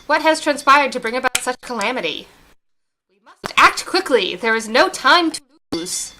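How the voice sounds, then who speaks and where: A woman's voice: sits high, big pitch swings, pushed and bright, slight background noise, exaggerated voice. Woman reading as a solemn ancient tree spirit. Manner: slow, formal, earnest reading of game text.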